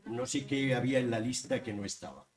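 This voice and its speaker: Man from Barcelona, panel guest, wry casual talk, mid pitch, picked up from a distance. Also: fairly quick, a little hiss or hum. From Barcelona, Spain. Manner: chatty, wry, with hesitant restarts.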